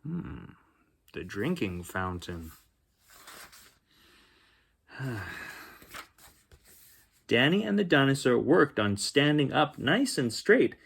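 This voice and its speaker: A man hosting a children's bedtime story reading, expressive, with chatty asides spoken straight to young listeners. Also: medium pitch, slow pace, gravelly, pauses often, slightly rough. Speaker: children's story reader and host. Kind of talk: bedtime picture book read-aloud with chatty asides.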